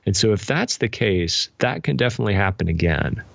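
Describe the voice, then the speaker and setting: A man's voice: on the low side, lively intonation, a little fast, creaky, a bit bright, dry recording. A man speaking as an interview guest, explaining ideas in a thoughtful, conversational way with illustrative asides. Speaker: guest author and pastor. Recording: dull, lo-fi, dry sound.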